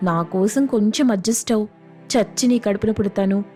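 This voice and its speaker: A woman's voice: medium pitch, moderate pace, dark tone, soft background music, close to an everyday voice. A woman playing a weary, pleading married sister caught between relatives in a story. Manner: pleading, resigned.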